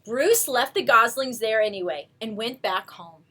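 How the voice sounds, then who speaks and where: A woman storyteller reading a picture book aloud to young children, with expressive, coaxing asides to her listeners. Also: fairly high pitch, a little slow.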